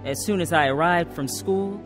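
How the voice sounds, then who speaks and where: A woman's voice: on the low side, normal speed, over music, close to an everyday voice. An actress playing an earnest woman telling of her troubled youth in a radio drama. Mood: reflective. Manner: earnest, expressive. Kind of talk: first-person radio drama narration and acting.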